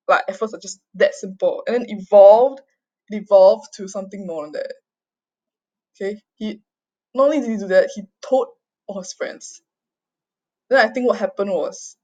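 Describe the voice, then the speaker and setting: A woman's voice: medium pitch, a little mellow. Agitated young woman, dull lo-fi recording. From Singapore, raised mostly overseas. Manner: halting, repetitive, sweary, often tags phrases with "okay?". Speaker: vlogger giving a personal account. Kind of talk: unscripted confessional monologue.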